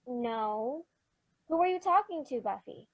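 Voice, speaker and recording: A woman's voice: high voice, lively intonation, unhurried, heavily acted, poor audio. A woman playing an anxious, stubborn and inquisitive little girl in an old-time radio Christmas drama. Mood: insistent, curious.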